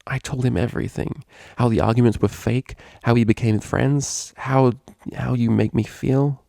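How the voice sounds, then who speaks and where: A man's voice: medium pitch, fairly even intonation, moderate pace, vocal fry, warm tone, no room sound, pitched above the performer's own voice, lightly acted. A man voicing an anxious, proper female pony cellist in a story, polite and careful in speech. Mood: guilty, pleading.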